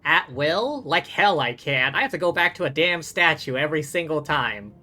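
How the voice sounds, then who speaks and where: A man's voice: a little high, lively intonation, moderate pace, pushed and bright. A man livestreaming a video game, reading dialogue aloud and adding wry, casual commentary. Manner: wry, sweary asides.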